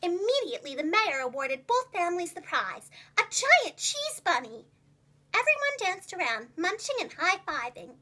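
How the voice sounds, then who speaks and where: A woman with a high, bright voice reads a storybook to young children. Her delivery is expressive and very animated, with each phrase carefully enunciated like a preschool teacher's. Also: normal speed.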